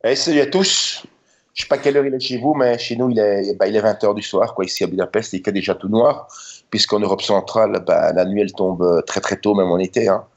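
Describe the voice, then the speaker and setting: Low-voiced Belgian man in his fifties. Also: fairly even intonation, brisk, dull audio. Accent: Belgian French. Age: 50s. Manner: rambling, chatty, frequent tag words. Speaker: podcast guest. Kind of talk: casual storytelling interview.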